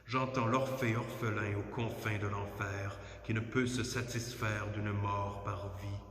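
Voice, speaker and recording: A man with a fairly low voice recites poetry in a solemn, declamatory way. His phrasing is measured and his intonation stays fairly even. The sound is that of a church, with strong echo and a long reverberant tail. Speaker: poetry reader. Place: inside a church at night.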